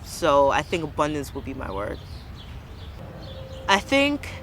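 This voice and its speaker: A woman's voice: low voice, wildly swooping pitch, measured pace, fairly bright tone. A young woman from Brooklyn, speaking earnestly and passionately about her community in a personal interview, repeating words for emphasis. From Brooklyn, New York, USA. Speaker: interviewee, local business owner. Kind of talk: personal reflective testimony. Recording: street recording with traffic noise.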